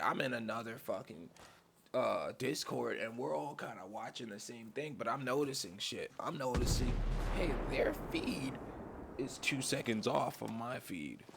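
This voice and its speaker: A man who is sick with a raspy voice, hosting his channel and ranting in a casual, profane way from his man cave at home. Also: mid-range voice, background noise. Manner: profane, heated rant. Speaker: channel host.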